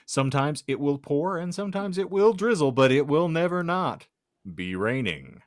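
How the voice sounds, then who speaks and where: A man's voice: on the high side, very animated, normal speed, no echo, clean studio sound. A man hosting a podcast, reading out a comic hypothetical and bantering in a wry, wordy, mock-formal manner. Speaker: podcast host.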